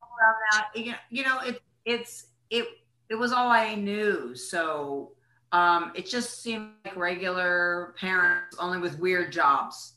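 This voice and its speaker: A woman's voice: on the low side, steady tone, slow, bright, forceful tone, reverberant, poor audio. An older woman, an actress being interviewed, casually reminiscing in conversation.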